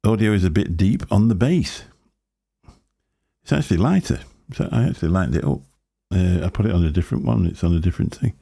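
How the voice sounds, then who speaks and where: A man with a very low, booming voice and a gravelly fry running under his words. His tone is dark and a little husky. He chats in a loose, rambling way on a live stream, talking into a desk microphone. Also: animated, brisk. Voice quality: deep booming voice. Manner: rambling, chatty, chuckling to himself. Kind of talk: casual live stream chat. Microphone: desk microphone through a mixer.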